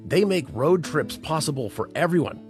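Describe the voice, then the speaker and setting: A man's voice: a little high, animated, normal speed, music in the background. A man hosting a radio show, playful and jokey as he talks with guests and introduces segments.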